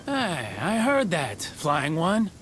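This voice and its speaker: An actor playing a cheerful, blunt old village carpenter with a creaky, hesitant, chuckling delivery in a video game. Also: male voice, a little high, huge pitch swings, slow pace, fairly bright tone, a little hiss or hum, full character voice. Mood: genial. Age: older. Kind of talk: video game character acting.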